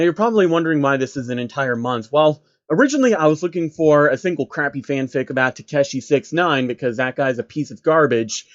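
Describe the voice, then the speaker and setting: A man's voice: fairly high pitch, highs cut off. A man hosting and narrating a comedic fan fiction reading, with sarcastic asides. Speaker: channel host and narrator.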